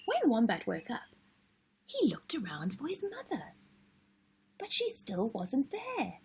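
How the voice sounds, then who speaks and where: Very husky woman reading slowly, expressively. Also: on the high side, wildly swooping pitch. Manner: dramatic pauses and emphasis for children. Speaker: story-time host and reader. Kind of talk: expressive children's storybook narration.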